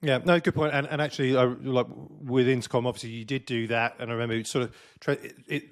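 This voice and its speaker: A man's voice: medium pitch, fast. A man hosting an interview podcast, asking questions in a loose, conversational way with plenty of fillers. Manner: relaxed, conversational, lots of fillers. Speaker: podcast host.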